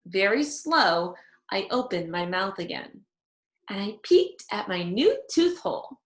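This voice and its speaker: Animated, unhurried woman reading a storybook. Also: medium pitch, echoey room. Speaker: children's read-aloud storyteller. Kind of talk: expressive scripted storybook narration.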